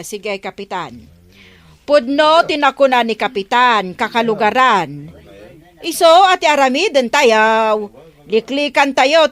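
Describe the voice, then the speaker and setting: Earnest village councilwoman, agreeable, high-pitched, Ilocano accent, radio drama dialogue. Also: fairly bright tone. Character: agreeable woman village council member urging cleanliness.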